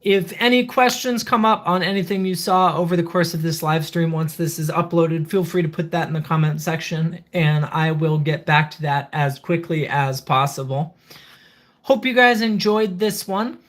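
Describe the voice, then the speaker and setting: Casting: channel host for a casual livestream review, mid-pitched voice, methodical step-by-step explainer. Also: moderate pace.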